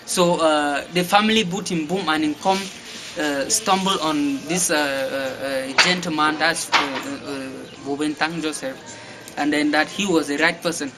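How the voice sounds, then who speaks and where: A man's voice: on the high side, fairly even intonation, unhurried. A young Cameroonian man with a Cameroonian English accent, giving interview answers with many fillers and restarts. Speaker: guest. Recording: noisy background.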